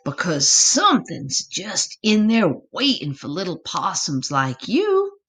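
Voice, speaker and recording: A woman's voice: fairly low pitch, huge pitch swings, takes it slowly, fairly bright tone, husky, full character voice. A woman voicing a doting mama possum in a storybook, affectionate and dramatically cautionary. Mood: spooky, cautioning.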